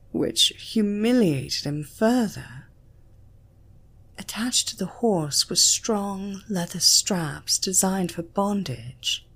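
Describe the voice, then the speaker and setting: A British woman narrating an erotic story in a breathy voice that often drops toward a whisper. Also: lowish voice, bright, forceful tone. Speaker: audiobook narrator.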